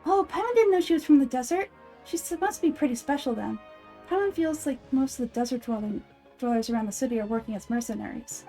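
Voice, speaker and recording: A woman voicing a small, chirpy, childish girl companion in an anime game fan dub, blunt, pushy and excitable. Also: high voice, fast talker, soft background music. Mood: surprised. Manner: speaks of self in third person, pushy, excitable.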